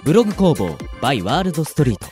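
A man's voice: on the high side, sing-song, fast. A man speaking as a co-host on a casual radio show. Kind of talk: casual chat and sponsor reading. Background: music.

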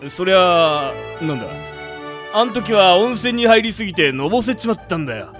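A man playing a gruff, easygoing middle-aged gangster boss with a rough, drawling way of talking in an amateur radio drama. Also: high-pitched, animated, normal speed, fairly bright tone, soft background music. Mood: boastful, sheepish.

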